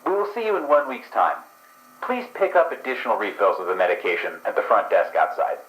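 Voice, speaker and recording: A man's voice: medium pitch, wildly swooping pitch, a little fast, fairly bright tone, roomy sound, rough recording. A man voicing a coolly polite researcher running a drug trial, speaking in a clinical, formal way. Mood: smooth.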